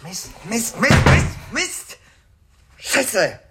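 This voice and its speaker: Flustered, swooping small-time crook. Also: male voice, very high-pitched, very animated, drawn-out words, heavy background noise, exaggerated voice. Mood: frustrated. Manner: hesitant, stammering, evasive. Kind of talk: radio drama phone dialogue.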